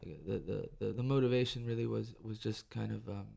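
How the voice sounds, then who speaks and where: Gravelly, reflective musician from Detroit. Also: male voice, fairly low pitch, dark tone. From Detroit, United States. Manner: reflective, halting, many restarts. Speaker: musician guest. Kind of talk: live radio interview. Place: radio studio.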